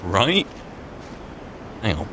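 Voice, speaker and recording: A man's voice: fairly low pitch, huge pitch swings, extremely slow, very noisy. A British man doing in-character roleplay commentary on a video game, talking wryly and conversationally as if muttering to himself. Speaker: gamer playing a roleplay character. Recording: voice over game music. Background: video game music and sound.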